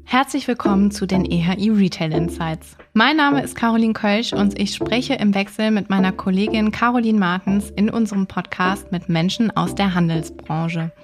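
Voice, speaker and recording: A woman's voice: mid-range voice, moderate pace, hardly any pauses, over music. A woman hosting an interview podcast, introducing and questioning a guest. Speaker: podcast host.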